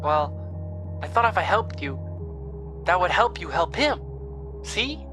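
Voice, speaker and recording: An actor in a horror audio drama playing a sad, frightened young ghost girl who talks haltingly and repeats things like a child. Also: medium pitch, very animated, music in the background. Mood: hopeful, earnest. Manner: halting, repetitive, childlike speech.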